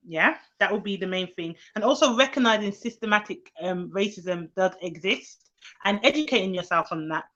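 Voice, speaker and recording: A woman, a nanny chatting casually on a live stream to her viewers. She rambles with many fillers and restarts, and her voice jumps high when she quotes children. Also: a bit bright. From England, grew up in London. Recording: slightly dull lo-fi live-stream audio.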